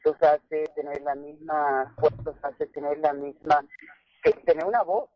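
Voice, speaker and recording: A woman, an English speaker, giving earnest conversational advice as a radio caller, halting and repeating words for emphasis. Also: deep voice, steady tone, no echo. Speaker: phone-in caller, English teacher. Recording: muffled telephone line on radio.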